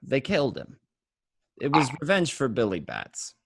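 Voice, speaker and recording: A man acting as an excitable mobster who tells his crime story conversationally, tripping over and repeating words. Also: medium pitch, moderate pace, vocal fry, breathy edge, dry recording, studio quality, heavily acted. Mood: resigned, bitter. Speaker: amateur actor in an online radio play. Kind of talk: first-person dramatic monologue, conversational.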